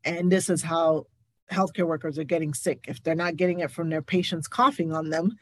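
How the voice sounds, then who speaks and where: A woman's voice: deep voice, brisk. A woman speaking as a nurse guest in a candid interview, emphatic and indignant, repeating points for stress. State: recovering from covid, stuffy.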